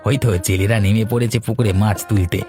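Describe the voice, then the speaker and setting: Fast, low-voiced village brother played by a man. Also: steady tone, faint music behind, close to an everyday voice. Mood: eager, busy. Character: eager village brother in the family fish trade. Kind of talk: Bengali cartoon dialogue.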